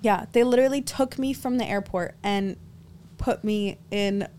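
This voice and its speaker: A young woman from Los Angeles chats casually as a podcast guest. She tells long stories and leans on "like" as a filler, with a middle-pitched voice. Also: normal speed, mellow. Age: young adult. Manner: storytelling, frequent "like" fillers, laughs often.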